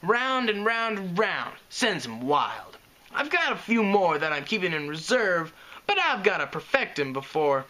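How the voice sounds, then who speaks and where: Brash bragging pony girl in a storybook reading, high and bouncy, as the narrator puts her on. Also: very animated, measured pace, bright, forceful tone, poor audio. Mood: boastful. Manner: boastful, brash. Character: cocky young female pegasus filly.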